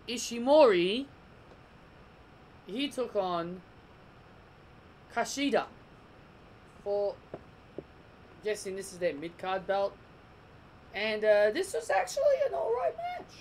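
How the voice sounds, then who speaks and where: Croaky-voiced man rambling a review. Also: sits high, very animated, takes it slowly, pauses often, a little hiss or hum, rough recording. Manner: rambling, restarts and corrects himself. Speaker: wrestling reviewer. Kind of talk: casual video review.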